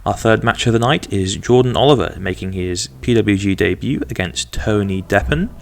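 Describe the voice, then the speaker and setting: Low gravelly-voiced man commenting on a game. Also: moderate pace, a little hiss or hum. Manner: chatty, rambling, self-deprecating asides. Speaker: gaming video host.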